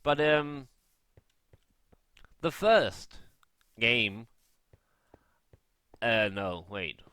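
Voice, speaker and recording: A man giving casual, unscripted gaming commentary in a halting way, often rephrasing and correcting himself. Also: medium pitch, expressive, sharp tone, clear, resonant tone, no echo, studio quality. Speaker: gaming vlogger.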